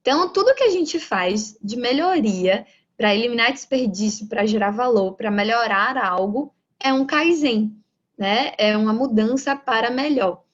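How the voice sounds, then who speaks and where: A woman's voice: fairly high pitch, expressive, normal speed. Bahian woman giving a lecture, lo-fi. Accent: Bahian Brazilian Portuguese. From Bahia, Brazil. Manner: explanatory, frequent rhetorical "né?" tags. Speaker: lecturer on Lean management. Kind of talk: online lecture. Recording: dull lo-fi audio.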